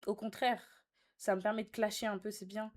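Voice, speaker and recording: A young woman talks casually to her live stream audience at a middling pitch. She is sassy and teasing, uses plenty of slang, and moves between reading comments out and answering them directly. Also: level tone, fairly quick.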